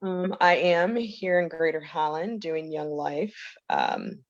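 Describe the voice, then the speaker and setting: A woman in her forties, a youth ministry leader, speaking in a measured, reflective way with frequent ums on a panel. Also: low-pitched, big pitch swings, no echo, highs cut off. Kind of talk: panel discussion. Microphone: video call.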